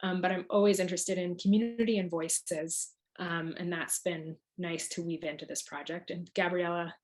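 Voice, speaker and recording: A woman's voice: lowish voice, fairly even intonation, normal speed, a little mellow. A woman co-hosting a panel, giving formal introductions in the manner of an event host.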